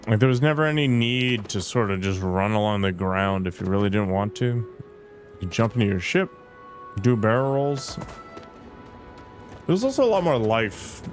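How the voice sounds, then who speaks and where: A man's voice: on the low side, lively intonation, normal speed, long pauses, faint music behind. A man giving casual commentary on a game he is playing, with dry, sarcastic asides. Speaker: gamer streamer. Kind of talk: casual let's play commentary.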